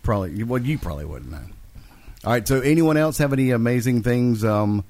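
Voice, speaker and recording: A man's voice: mid-range voice, animated, fairly quick, a little mellow. A man co-hosting a podcast, chatting and bantering casually with friends. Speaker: podcast co-host.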